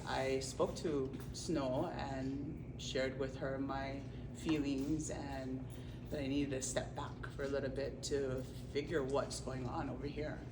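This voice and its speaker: A speaker sharing a personal story at a community talk, earnest and halting, with fillers and restarts. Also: medium pitch. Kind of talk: personal testimony. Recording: roomy, distant, noisy room recording.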